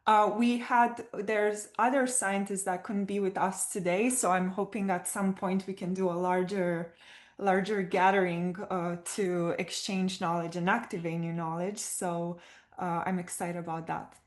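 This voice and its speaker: A woman's voice: on the low side, little pitch movement, a little slow. A woman with a Romanian accent moderating an online panel, reading speaker bios with many fillers and stumbling over names, on a video call.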